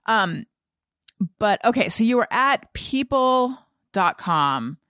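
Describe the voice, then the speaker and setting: A middle-aged woman hosting a casual podcast interview, chatty and self-deprecating, often thinking out loud as she goes. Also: fairly high pitch, big pitch swings, takes it slowly, smooth, no room sound, studio quality.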